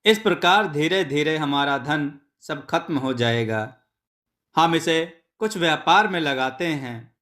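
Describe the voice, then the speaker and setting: A commanding eldest brother in a storybook folk tale, as a male narrator puts him on. He speaks slowly and firmly. His manner is practical and matter-of-fact, that of someone used to giving orders. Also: a little high, animated, fairly bright tone.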